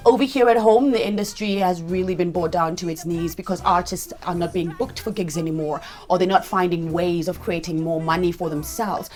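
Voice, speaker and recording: A woman hosting a radio interview, speaking in an upbeat, enthusiastic and animated way. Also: lowish voice, a little fast, hardly any pauses. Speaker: radio host.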